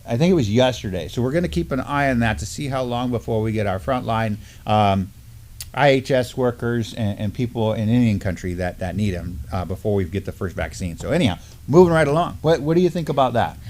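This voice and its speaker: A man's voice: mid-range voice, brisk. Middle-aged guy hosting a news chat at a desk, easygoing, lots of ums and restarts. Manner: relaxed, many fillers and restarts. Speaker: news show co-host. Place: studio with mottled backdrop, at a desk.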